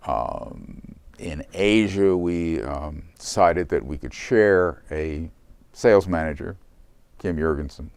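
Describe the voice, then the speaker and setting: An older man with a very deep voice speaks as a company founder being interviewed. His delivery is reflective and unhurried, broken by pauses as he thinks. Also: animated, gravelly, a little mellow, clean tone, no room sound.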